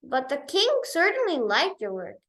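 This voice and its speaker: A woman's voice: high-pitched, sing-song, moderate pace, bright, forceful tone, roomy sound, heavily acted. A woman voicing a kindly, deferential master potter in a storybook, humble and a little hesitant.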